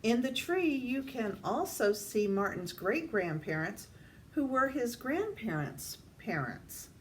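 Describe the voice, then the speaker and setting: An older woman reads a children's picture book aloud in a deliberate way, addressing young listeners. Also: mid-range voice. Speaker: storytime reader. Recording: distant mic, faint background noise.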